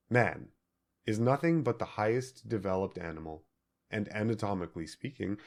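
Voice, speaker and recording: Guy with a lowish voice reading an audiobook, measured phrasing, leans on key words. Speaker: audiobook reader. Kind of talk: scripted audiobook narration.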